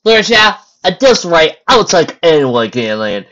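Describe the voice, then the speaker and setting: A woman performs a blunt, desperate female farm pony in a cartoon pony story. The voice is loud, projected and raised in pitch. The recording sounds dull and lo-fi. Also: big pitch swings, moderate pace. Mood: exasperated.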